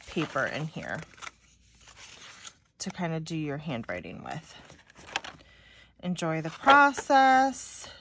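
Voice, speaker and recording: A woman's voice: sits low, noisy background. A woman chatting casually and thinking aloud as she hosts an unboxing video, sounding slightly stuffy-nosed. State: slightly stuffy, sniffly nose. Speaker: craft video host. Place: indoors at a craft table.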